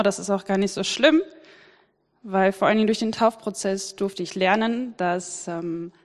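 A woman of twenty giving a fluent, lightly humorous personal testimony in church. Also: medium pitch. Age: 20s. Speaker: student and baptism candidate. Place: church hall. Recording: reverberant church hall.

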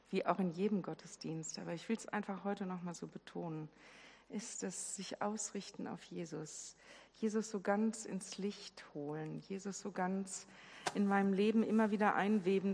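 A woman leading a church service who speaks in a gentle, earnest way. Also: medium pitch, slight background noise. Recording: reverberant church hall.

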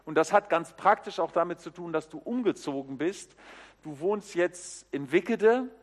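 A man introducing a new member to a church congregation, speaking in a friendly way with frequent hesitations. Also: a little high. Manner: friendly and halting, with many fillers. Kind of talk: informal address to a congregation. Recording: reverberant church hall.

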